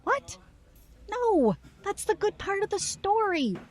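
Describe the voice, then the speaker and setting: A sharp, caring woman played by a voice actress talks slowly and deliberately, with very expressive, varied pitch. She delivers her audio drama lines in a pointed way. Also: full character voice. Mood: playful. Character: sharp, playful, concerned woman on a date.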